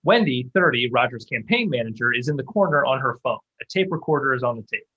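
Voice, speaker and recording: A man's voice: mid-range voice, lively intonation, fast talker, breathy edge. A man reading stage directions as scripted narration for a table read, on a muffled, lo-fi microphone.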